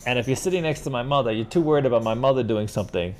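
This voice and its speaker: A man's voice: medium pitch, racing, over a noisy crowd. A middle-aged man from New York City, chatting casually as a host and rambling through stories while calling everyone dude. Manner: rambling, animated, says "dude" often. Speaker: host answering viewer questions. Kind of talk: casual livestream chat.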